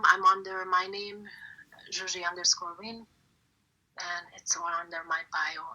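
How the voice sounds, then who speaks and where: A woman's voice: on the low side, sharp tone, poor audio. A woman with a Hungarian accent, talking as an interview guest in casual chat, hesitant with many fillers.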